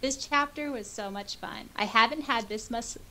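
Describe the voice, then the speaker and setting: A woman co-hosting a podcast in casual chat, reading out comments and reacting in an animated, giggly way with hesitant asides. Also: a little high, normal speed, slight background noise. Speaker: podcast co-host and audiobook reader.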